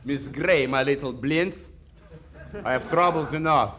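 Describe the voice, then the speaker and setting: An actor playing an excitable, boastful Russian impresario with a thick accent and comic broken English, in 1940s radio comedy style. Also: male voice, mid-range voice, unhurried, crowd noise, lightly acted. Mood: wry, self-pleased.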